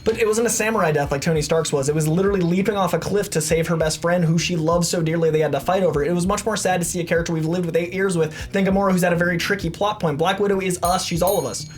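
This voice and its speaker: A man arguing as a debate contestant in a rapid, intense and insistent style. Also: high voice, steady tone.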